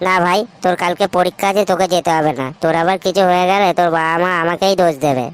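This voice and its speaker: A woman doing a lowish-voiced jealous teenage boy. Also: steady tone, quick pace, clean tone, close to an everyday voice. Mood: resentful. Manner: pestering and grumbling. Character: jealous sly teenage schoolboy friend.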